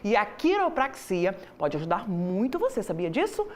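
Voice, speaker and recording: Lively, chatty woman hosting a TV show. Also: medium pitch, moderate pace. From Paraná, Brazil. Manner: chatty, enthusiastic, many "né" tags. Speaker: TV show host. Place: TV studio.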